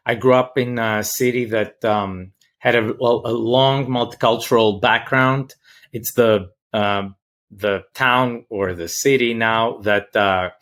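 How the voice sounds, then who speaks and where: Male academic interviewee, Greek accent, medium pitch, measured and thoughtful, often pausing mid-phrase. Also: a bit bright. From Greece. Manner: hesitant, halting, searching for words. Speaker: interview guest, political science professor.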